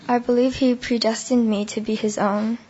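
A young adult woman with a hoarse, worn voice, giving her testimony at church in short chunks. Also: fairly high pitch, little pitch movement, moderate pace, dark tone, dull audio. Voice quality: gravelly, husky. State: hoarse, lost voice. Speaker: baptism candidate giving testimony.

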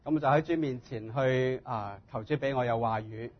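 A man preaching as a guest in short phrases, speaking humbly and haltingly in his native tongue. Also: mid-range voice. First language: Cantonese. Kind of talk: sermon delivered in short phrases for interpretation. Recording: dull, muffled lo-fi sound.

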